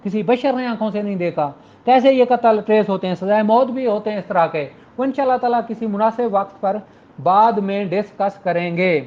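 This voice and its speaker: A man's voice: high voice, fairly quick. A middle-aged man with a Pakistani accent giving an informal, explanatory law lecture in a conversational, familiar way. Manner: conversational, addresses listeners as his children and siblings. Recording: dull lo-fi audio.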